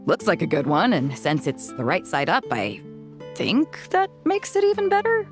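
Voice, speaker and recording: A woman's voice: on the low side, huge pitch swings, moderate pace. A woman voicing a bold, easygoing sea captain in a video game, with a breezy, confident, conversational manner. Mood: cheerful, breezy. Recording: music bed under the voice.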